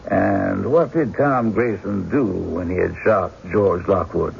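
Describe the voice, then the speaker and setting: An actor playing a stern, methodical prosecuting lawyer with formal, deliberate questioning in an old-time radio drama, on a muffled recording. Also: male voice, deep voice, creaky, heavily acted. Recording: muffled, faintly noisy radio broadcast.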